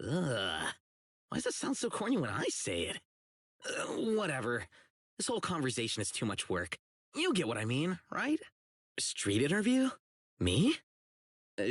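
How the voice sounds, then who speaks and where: Earnest young cop for a video game, blunt and clueless, pitch all over the place, kinda husky, super dry studio sound. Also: male voice, no room sound. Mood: sincere, awkward. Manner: blunt, earnest, literal-minded. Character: earnest, blunt young male police officer, socially oblivious. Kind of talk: acted video game voice lines. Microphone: close studio mic, isolated dry voice track.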